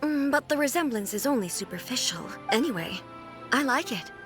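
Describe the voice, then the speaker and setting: Serene fantasy game sovereign: high register, composed and formal, varied intonation. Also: female voice, animated, a little fast, a bit bright, faint music behind. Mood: pleased, pensive. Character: regal, serene, curious female ruler of a fantasy land. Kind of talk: video game voice acting.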